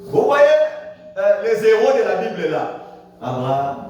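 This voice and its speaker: A male pastor preaches a Sunday sermon, swinging between loud high calls and low quiet asides, with hallelujahs throughout. His voice sits a little high for a man and is caught from a distance in a very echoey church hall, with a faint hiss behind it. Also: very animated, measured pace, muffled recording. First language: French. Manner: preaching with dramatic swings in pitch and loudness, frequent hallelujahs.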